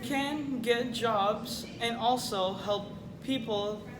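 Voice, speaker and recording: A woman giving scripted news commentary, halting and repeating phrases. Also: fairly high pitch, background noise. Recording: echoey room with crowd chatter.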